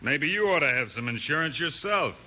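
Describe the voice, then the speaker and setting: A man's voice: on the high side, expressive, a little fast, pushed and bright, heavily acted. An actor playing a menacing, smug crook in a radio western, with insinuating tough-guy talk. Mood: smug menace. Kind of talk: 1950s radio western acting. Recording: noisy 1950s radio recording.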